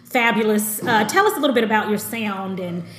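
A woman hosting a faith podcast, eager and affirming, quick to praise her guest. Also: medium pitch, animated, a bit bright. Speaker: podcast host. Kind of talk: casual faith interview. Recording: echoey room.

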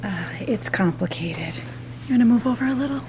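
Gentle grown daughter played by actress, noisy hum behind. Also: female voice, fairly high pitch, expressive, a little fast, close to an everyday voice. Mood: patient, tender. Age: middle-aged. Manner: gentle, reassuring. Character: patient, tender grown daughter visiting her confused mother. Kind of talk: naturalistic audio drama.

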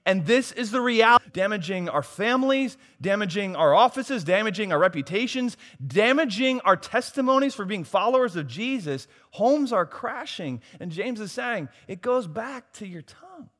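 Hoarse preacher with a bright voice in a reverberant hall. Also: male voice, sits high, lively intonation, moderate pace, few pauses. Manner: swings from soft asides to loud emphatic preaching. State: losing his voice. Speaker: pastor. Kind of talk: sermon.

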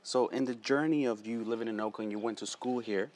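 A man's voice: medium pitch, steady tone, brisk, darkish tone. A man hosting a casual interview outdoors, friendly and loose, often repeating words as he starts questions. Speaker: interviewer, host.

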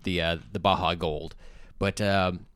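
A man's voice: low-pitched, little pitch movement, unhurried, a bit bright, clean tone, dry recording, studio quality. A man co-hosting a casual comedy podcast, joking crudely and enthusiastically as he chats. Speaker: podcast co-host. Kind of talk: casual comedic banter, taste-testing sodas.

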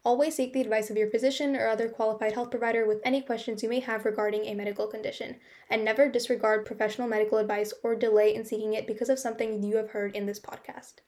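Fast-talking woman announcing a podcast. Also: fairly high pitch, flat delivery, darkish tone. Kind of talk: scripted disclaimer narration.

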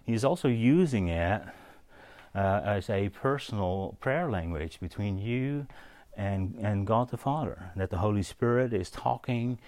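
Low-voiced man preaching informally, close and dry. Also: expressive, a little mellow, no room sound. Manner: halting, conversational, checks in with listeners with "yeah?". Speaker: preacher teaching on spiritual gifts. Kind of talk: informal sermon with storytelling.

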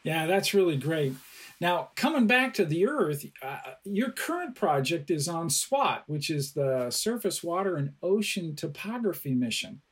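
A male podcast host with a somewhat high, husky voice puts friendly, encouraging questions to his guest. His tone stays relaxed and conversational. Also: unhurried. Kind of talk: interview hosting.

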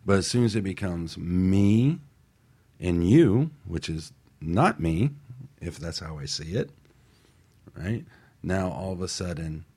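Very deep, husky-voiced man. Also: animated, slow, pauses often, warm tone. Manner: explanatory, checks in often with "right?". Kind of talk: casual radio discussion.

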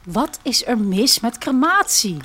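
A smug, idealistic younger man acted by a woman narrator, lecturing without a care in an audio drama. The pitch sits raised and the tone is sarcastic. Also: big pitch swings, measured pace, pushed and bright, slightly rough, heavily acted.

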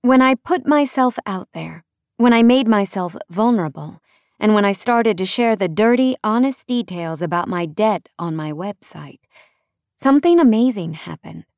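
A woman's voice: medium pitch, expressive, moderate pace, a little mellow, no room sound, studio quality. A woman narrating a first-person nonfiction audiobook in a conversational way, leaning on key words for emphasis.

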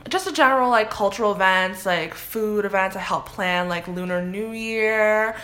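Bright-voiced chatty young woman. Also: medium pitch, normal speed. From Canada. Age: young adult. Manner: chatty, many "like" fillers, animated quoting. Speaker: interview guest. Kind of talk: casual interview.

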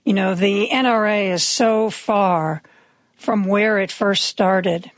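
A woman hosting a radio talk show, raspy from medication, giving chatty, wry news commentary with opinionated asides. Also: medium pitch, slow, dry recording, clean studio sound. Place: radio studio.

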